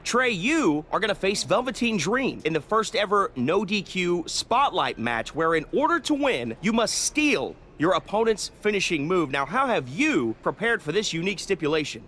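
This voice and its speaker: An actor playing a polite, eager backstage wrestling interviewer in a video game, asking formal questions. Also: male voice, high-pitched, very animated, moderate pace, few pauses, a bit bright, slight background noise.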